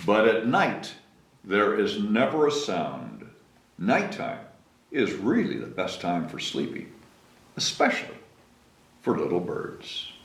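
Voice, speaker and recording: A man's voice: on the low side, huge pitch swings, takes it slowly, creaky, pauses often, slight background noise. A man hosting story time, reading expressively to children and chatting casually between passages. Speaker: story time host and reader. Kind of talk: expressive children's read-aloud with casual asides. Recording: echoey room.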